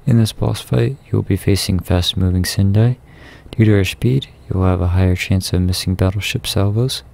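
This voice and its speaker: Low, gravelly man narrating a game guide. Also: moderate pace, warm tone. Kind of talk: scripted guide narration over gameplay.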